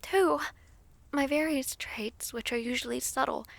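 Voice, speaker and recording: A woman playing a gentle, anxious young half-dragon princess in an audio roleplay, speaking softly and formally. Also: high voice, measured pace, gravelly, dry recording. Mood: anxious, pondering. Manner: soft, pensive, formal old-fashioned speech. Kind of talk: audio roleplay drama acting.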